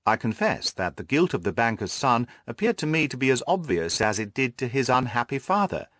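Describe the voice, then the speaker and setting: A man's voice: mid-range voice, sing-song, fairly quick, fairly bright tone, no echo. A man reading scripted literary narration as an audiobook narrator.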